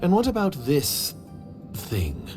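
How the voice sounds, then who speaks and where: An actor playing a guarded, secretive agent in a video game, measured and coolly condescending. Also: male voice, sits high, huge pitch swings, loud music behind the voice, full character voice. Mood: disdainful. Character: wary, secretive foreign agent, coolly disdainful man.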